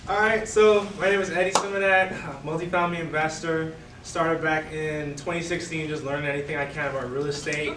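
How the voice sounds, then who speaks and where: A young man with a Bahamian accent giving a relaxed, conversational talk to a live audience, full of "you know" and "right?". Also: fairly high pitch, fairly quick, noisy background. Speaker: guest speaker. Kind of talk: casual presentation to a live audience. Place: event room before an audience. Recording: roomy, distant room mic.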